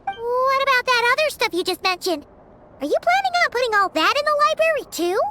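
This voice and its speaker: A woman voicing a tiny, childlike fairy companion in a video game, squeaky, excitable and blunt. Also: brisk, background noise. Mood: curious. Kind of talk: video game character dialogue. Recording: studio voice under prominent music.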